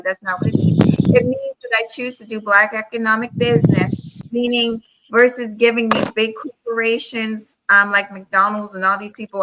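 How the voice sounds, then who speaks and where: A woman's voice: mid-range voice, very noisy. A woman hosting a live-stream panel, earnestly explaining her views in casual chat. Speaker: stream host.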